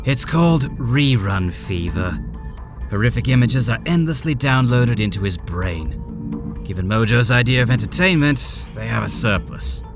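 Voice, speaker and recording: A man's voice: medium pitch, lively intonation, normal speed, music in the background, natural voice. A man playing a grim, determined male rebel guide in an audio drama, speaking earnestly and giving orders. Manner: earnest, commanding.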